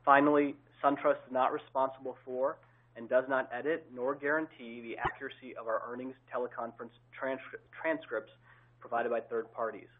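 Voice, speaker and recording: A man's voice: mid-range voice, little pitch movement, a little fast. A man opening an earnings call, formally reading a scripted disclaimer and introducing the executives. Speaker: investor relations host. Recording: tinny phone line.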